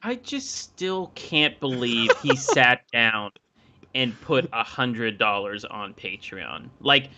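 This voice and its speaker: A man's voice: medium pitch, very animated, takes it slowly, pushed and bright, slight background noise. A man swearing freely and sounding sarcastic while co-hosting a stream reaction. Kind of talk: casual banter. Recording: dull lo-fi mic.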